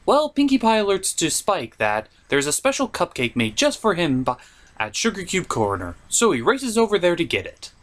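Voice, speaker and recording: A theatrical host narrates a comedic cartoon review. The pitch swings widely, and the delivery is sarcastic and mock-exasperated, with some swearing. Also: huge pitch swings, normal speed. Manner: profane.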